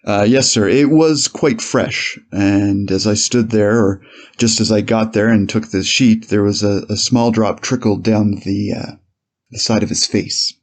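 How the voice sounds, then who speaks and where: Guy acting as old-time cop on the witness stand, lowish voice, careful n formal, bit hesitant, courtroom reenactment. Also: fairly even intonation. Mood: measured, formal. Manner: measured, hesitant, formal answers. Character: 1890s male police officer testifying, measured, deferential witness. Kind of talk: courtroom transcript dramatized reading.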